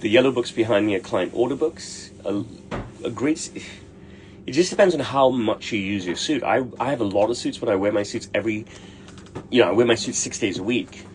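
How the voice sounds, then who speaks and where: A man's voice: fairly low pitch, a little fast, a little mellow, a little hiss or hum, rough recording. A middle-aged man, a tailor, answering viewer questions in a blunt, brash way and swearing freely. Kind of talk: casual livestream Q&A.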